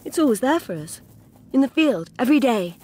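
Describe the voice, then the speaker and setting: Husky, lilting female rabbit. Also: high-pitched, big pitch swings, fairly quick, dark tone. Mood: welcoming, placid. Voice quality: husky, breathy. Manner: placid, hospitable. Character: serene, welcoming female rabbit, evasively placid. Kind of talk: radio drama acting.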